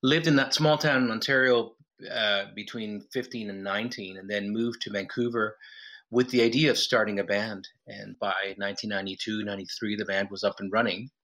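A lower-pitched man from Mexico with a bright, forward tone talks through a phone line as a guest musician. He rambles easily, restarting sentences as he explains. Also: a little fast. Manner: relaxed, rambling with restarts and laughs. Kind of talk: phone interview.

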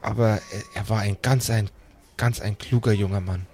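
A man voicing a gentle, slightly muddled elderly grandparent who speaks haltingly and repeats words. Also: lowish voice, fairly even intonation, pitched below the performer's own voice, close to an everyday voice. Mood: proud, wistful. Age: old.

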